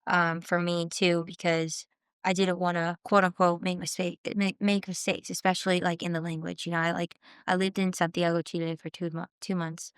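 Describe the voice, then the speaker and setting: A young woman from Southern California talks as a podcast guest, earnest and reflective, often pausing and restarting her thoughts. Her voice sits a little low and comes across smooth and resonant. The sound is dry and close to the mic. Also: level tone, no echo. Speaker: guest musician. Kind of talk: casual podcast interview.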